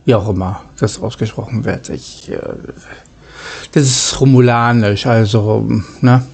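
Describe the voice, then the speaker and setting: Slow-talking man hosting a let's play. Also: on the low side, creaky, a little mellow, husky. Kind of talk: casual let's play with hobby role-play read aloud.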